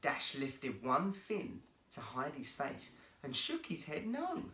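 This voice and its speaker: A man reading a children's story aloud as an expressive storyteller. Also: a little high, slow pace, echoey room. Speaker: guest storyteller, actor.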